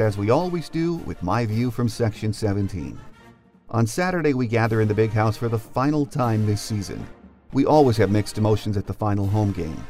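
A man's voice: on the low side, dark tone. An American man hosting a sports podcast, moving between scripted introductions and interview questions. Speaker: podcast host.

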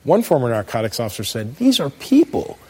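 An American man in middle age reading a scripted spoken essay as a commentator, with emphatic, measured phrasing. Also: medium pitch, wildly swooping pitch, mellow, slightly rough. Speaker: commentator, law professor.

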